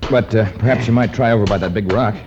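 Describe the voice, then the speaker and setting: An actor playing a steady, commanding jungle hero in formal, measured 1950s radio adventure style. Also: male voice, on the low side, lightly acted. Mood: grave, determined. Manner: formal, measured, deliberate speech. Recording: muffled 1950s radio recording.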